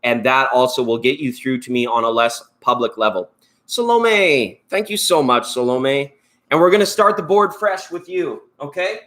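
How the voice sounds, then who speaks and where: A man's voice: mid-range voice, moderate pace, fairly bright tone. A Canadian man hosting a live tarot stream, chatting in a rambling, upbeat way and thanking viewers by name. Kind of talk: casual live-stream chat.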